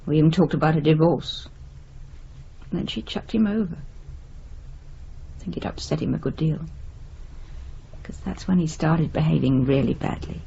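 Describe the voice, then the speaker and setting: An actress playing an anxious, resigned middle-aged wife in a radio drama, speaking hesitantly with a British accent. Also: female voice, deep voice, unhurried, creaky, pauses often, dark tone, muffled recording, slight background noise. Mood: resigned, sad. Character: anxious, long-suffering middle-aged wife, frightened of loneliness. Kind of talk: naturalistic radio drama acting.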